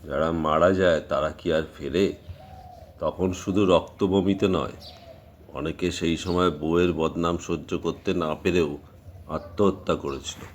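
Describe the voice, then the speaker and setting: A man acting a grave, guarded middle-aged village father in an audio drama, speaking in a measured, cautionary way. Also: low-pitched, fairly even intonation, a little hiss or hum. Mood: grave recounting.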